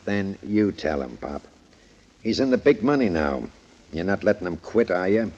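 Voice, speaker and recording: An actor playing a cocky, mocking gangster in a 1940s radio crime drama, full of slangy wisecracks. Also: male voice, deep voice, lively intonation, moderate pace, darkish tone. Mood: needling. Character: cocky, sneering gangster, a fight-fixing gambler. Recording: muffled old 1940s radio recording.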